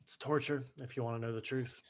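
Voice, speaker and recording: A man's voice: mid-range voice, steady tone, a little fast, natural voice. Man playing dry, sarcastic teenage son. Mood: sullen. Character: sullen, brainy, argumentative teenage son. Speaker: stage actor in a play reading. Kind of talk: staged play reading, naturalistic dialogue. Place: remote video call.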